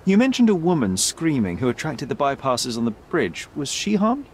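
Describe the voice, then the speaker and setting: An actor playing a self-assured young detective in a video game, articulate, polite but pointed and persuasive. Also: male voice, medium pitch, wildly swooping pitch, normal speed, a little hiss or hum. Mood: polite, composed. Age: young adult. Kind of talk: video game cutscene acting.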